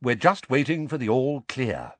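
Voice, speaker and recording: A man voicing a kindly, tired youngish wizard with a calm, reassuring manner in an audiobook story. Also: medium pitch, normal speed, no room sound, pitched above the performer's own voice.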